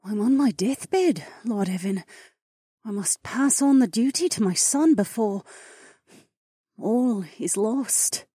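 A man voicing a frail, ancient female dragon, a dying and tender mother, in a weak, breathy, murmuring voice for an audiobook. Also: high-pitched, lively intonation, slow, mellow, no room sound, studio quality, voice pushed up, exaggerated voice. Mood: grave, weary. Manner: weary, murmuring.

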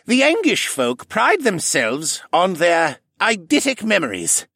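A man's voice: high-pitched, huge pitch swings, normal speed, vocal fry, a bit bright, breathy edge, no room sound, studio quality, pitched above the performer's own voice, heavily acted. A man voicing a tipsy old male koala butler with a slightly slushy, theatrical way of reciting.

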